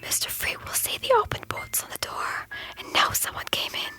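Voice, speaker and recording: An actress playing an anxious, loyal schoolgirl in an audio drama, hushed and fretful. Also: moderate pace, in a whisper, soft background music, natural voice, low-quality recording. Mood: frightened.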